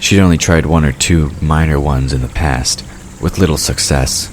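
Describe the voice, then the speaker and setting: Very deep-voiced male storyteller. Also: moderate pace, a little hiss or hum. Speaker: narrator. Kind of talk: scripted narration of a fantasy audio drama.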